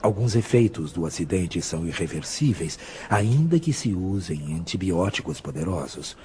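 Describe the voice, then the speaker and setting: Audio drama role: wise, humble male spirit mentor, mellow and gentle, with noticeable background noise. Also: sits low, sing-song, normal speed. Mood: solemn, consoling.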